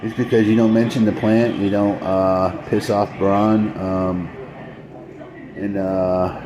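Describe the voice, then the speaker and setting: A man's voice: on the low side, flat delivery, slow, dull audio, crowd noise. A man live-streaming late at night, chatting casually with his viewers while losing his voice, rambling and repeating himself with frequent chuckles. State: losing his voice, dry throat.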